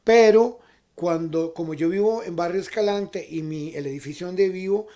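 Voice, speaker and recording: A man with a Costa Rican accent hosts a conversational interview show. His voice sits a little high for a man, with a very mellow, dark-edged tone. He often rounds off phrases with a short checking question. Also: normal speed. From Costa Rica. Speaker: talk show host.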